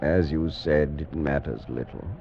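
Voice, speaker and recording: Doomed gothic madman, slow n creaky, super melodramatic, old radio horror sound. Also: extremely low pitch, slight background noise, full character voice. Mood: bleak, ominous. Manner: brooding, melodramatic, drawn-out phrasing. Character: morbid, tormented gothic gentleman sliding into madness. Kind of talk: 1940s radio horror acting. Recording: dull vintage radio recording.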